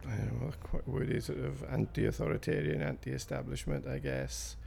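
A man's voice: mid-range voice, moderate pace, gravelly, darkish tone, slight background noise. A man in his fifties with a South Wales Valleys accent, answering interview questions in a hesitant, rambling way with fillers and restarts. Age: 50s. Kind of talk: recorded interview.

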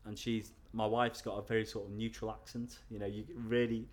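A man's voice: on the low side, little pitch movement, fairly quick. Chatty man with a Scouse accent. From North Wales. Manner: chatty, rambling, with frequent fillers and restarts. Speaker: interviewee. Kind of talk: casual interview chat.

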